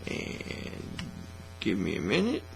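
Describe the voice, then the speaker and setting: A man hosting a casual hands-on hobby demonstration in a folksy, informal, unhurried way, recorded in a small indoor workshop studio. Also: lowish voice, vocal fry. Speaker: host of a hobby workbench channel. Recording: steady mains hum, faint noise.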